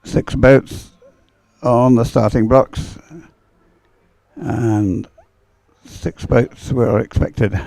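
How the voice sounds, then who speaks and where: A man commentates live on racing in a soft-edged, mellow voice. His delivery is unscripted and halting, often hesitating and wandering as he goes. The sound is dry, with very little room around him. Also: medium pitch, fairly even intonation, creaky, dry recording. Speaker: race commentator.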